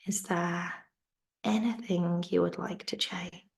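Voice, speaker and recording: A woman teaching yoga nidra, guiding a relaxation in a soft, soothing way that sometimes sinks to a whisper, over a video call. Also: lowish voice, steady tone, slow, gravelly. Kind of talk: guided relaxation instruction.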